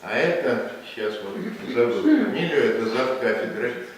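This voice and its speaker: A man's voice: mid-range voice, sing-song, normal speed, slight background noise. A man hosting a book presentation in a museum, introducing guests in a rambling way with many filler words. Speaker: presentation host. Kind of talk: informal introductions at an event. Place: museum hall. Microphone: distant room microphone. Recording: very echoey hall from far off.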